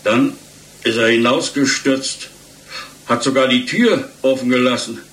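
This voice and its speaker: A man's voice: mid-range voice, unhurried, fairly bright tone, close to an everyday voice. An actor playing a male witness in a 1960s German radio play, recounting what he saw in an emphatic, colloquial way. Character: male witness emphatically recounting a shaken man's hasty exit. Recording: roomy, noisy 1960s mono radio recording.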